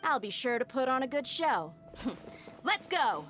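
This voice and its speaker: A woman's voice: high voice, big pitch swings, a little slow, fairly bright tone, exaggerated voice. A woman voicing a brash, hot-tempered young female mercenary in a video game, with a breezy, cocky manner. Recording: music behind the voice.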